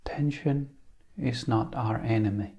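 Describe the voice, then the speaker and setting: A man leading a guided meditation in a calm, unhurried manner, leaving long pauses. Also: mid-range voice, lo-fi sound.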